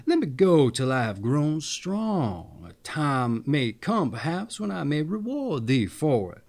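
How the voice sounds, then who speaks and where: A man's voice: on the high side, sing-song, unhurried, gravelly, a little mellow, exaggerated voice. A man voicing a timid young foal that pleads in old-fashioned storybook speech. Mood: pleading.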